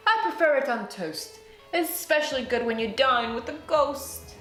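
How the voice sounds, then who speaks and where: A woman's voice: sits high, animated, moderate pace, a bit bright, reverberant, faint music behind, pitched above the performer's own voice. A woman playing a polite, proper school headmaster in a children's storybook, earnest and theatrical in delivery. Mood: assured, enthusiastic. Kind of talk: children's storybook read-aloud.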